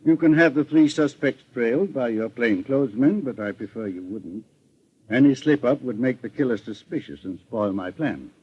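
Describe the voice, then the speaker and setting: Measured detective, dull vintage radio sound. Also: male voice, mid-range voice, lively intonation, slightly rough. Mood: confident, deliberate. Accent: American. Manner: measured, deliberate, formal. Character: composed, methodical veteran male private investigator, the boss. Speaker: radio actor. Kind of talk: old-time radio detective drama acting.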